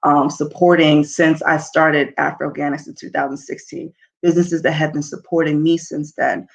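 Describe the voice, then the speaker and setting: A woman's voice: sits low, little pitch movement, normal speed, gravelly. A young woman talking informally and earnestly to an online audience, encouraging listeners as a talk show host. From Nigeria and New Jersey, US.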